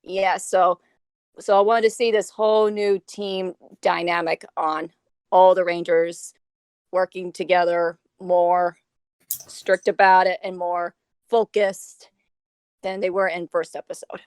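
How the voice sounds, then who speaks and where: Woman with a dry, close sound, halting. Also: fairly low pitch, little pitch movement, smooth, dry recording. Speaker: cast member, guest. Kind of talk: casual discussion. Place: remote online voice call.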